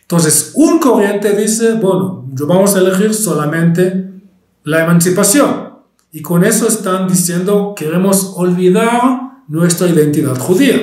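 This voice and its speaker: An Israeli historian with a Spanish accent picked up in Argentina, lecturing and pausing often with fillers and restarts. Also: male voice, sits high, darkish tone, roomy sound. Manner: hesitant, many fillers and restarts.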